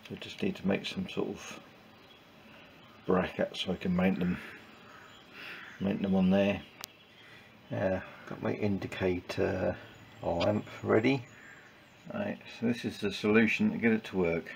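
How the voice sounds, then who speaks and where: A man giving informal hands-on workshop commentary in a halting, matter-of-fact way with clipped sentences. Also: sits low, fairly even intonation, vocal fry. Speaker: presenter of a motorbike build vlog. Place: small wooden shed workshop. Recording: noisy workshop recording with background ambience.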